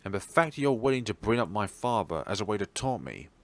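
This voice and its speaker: A man's voice: on the low side, quick pace. Man doing an upbeat, earnest teenage schoolboy. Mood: hurt, earnest. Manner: earnest, animated. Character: upbeat, caring teenage schoolboy, stubbornly optimistic best friend.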